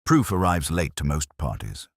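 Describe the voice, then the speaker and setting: A man voicing a deep, calm police inspector. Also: no room sound, studio quality, full character voice. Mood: calm, cryptic. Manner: deliberate, aphoristic, understated. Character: calm, shrewd male police inspector, quietly amused.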